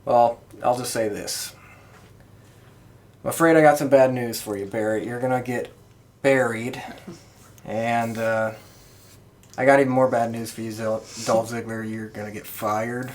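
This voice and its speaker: A man's voice: medium pitch, fairly even intonation, a little slow, pauses often. Casual male podcast co-host. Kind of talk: casual wrestling podcast discussion.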